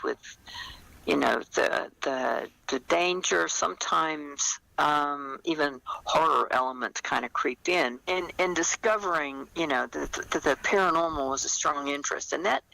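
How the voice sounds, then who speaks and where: An older woman talking conversationally as an interview guest, explaining her work as a writer in a thoughtful, halting manner. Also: low voice, vocal fry, bright, forceful tone, rough recording.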